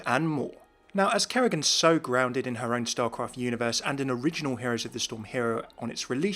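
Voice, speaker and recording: A man's voice: a little high, a bit bright. A man giving scripted, explanatory commentary as the host of a gaming video. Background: background music.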